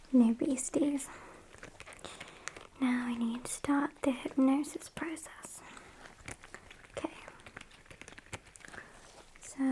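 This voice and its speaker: A British woman voicing a sassy, morbid female vampire in a soft, breathy whisper for an ASMR roleplay. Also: high voice, poor audio. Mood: soothing, hypnotic. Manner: slow, hushed, matter-of-fact, mildly scornful. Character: sassy, morbid female vampire kidnapper tending her victim. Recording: hissy close-up whisper recording.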